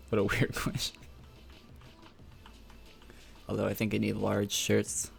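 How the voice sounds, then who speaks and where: Young male streamer, low gravelly voice, faint music underneath, relaxed rambling chat. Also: unhurried. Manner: laid-back, rambling, laughs often. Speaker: game streamer. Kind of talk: casual stream chat reading viewer questions.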